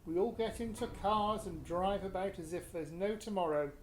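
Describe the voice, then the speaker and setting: A man's voice: high voice, moderate pace, a little hiss or hum, lightly acted. Man playing an embittered British husband, echoey room. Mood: weary, cynical. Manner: scornful, exasperated, self-justifying. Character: embittered, vain, middle-aged actor husband and father. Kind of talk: radio play acting.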